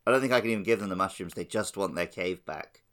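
A man's voice: deep voice, quick pace, fairly bright tone. A man talking casually as a panelist on a gaming podcast.

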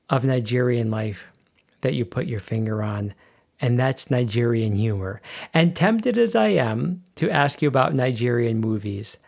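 A man hosting a podcast interview, asking questions in a slow, emphatic, playful way. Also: mid-range voice, gravelly, breathy edge. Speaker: podcast host. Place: Berlin.

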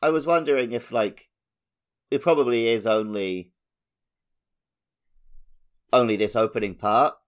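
A man's voice: medium pitch, a little slow, pauses often. A man co-hosting a podcast, chatting casually about a video game and often searching for his words as he goes. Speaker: podcast co-host.

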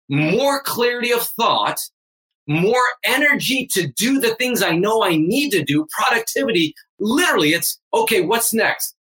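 A man's voice: high voice, bright, forceful tone, poor audio. A man in his fifties, a fitness coach, talking emphatically and energetically as a guest on a remote interview. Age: 50s. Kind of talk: energetic motivational interview talk.

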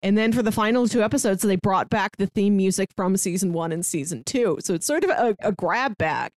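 A woman's voice: medium pitch, animated, fairly quick, no room sound. A woman co-hosting a podcast, chatting casually, speaking with enthusiasm and laughing easily. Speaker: podcast co-host. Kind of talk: casual chat.

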